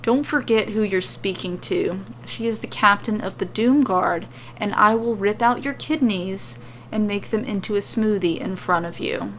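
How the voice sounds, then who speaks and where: A woman voicing a cold, menacing female villain in an audio story, deadpan and threatening. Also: mid-range voice, moderate pace, a little hiss or hum, natural voice, rough recording. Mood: cold menace.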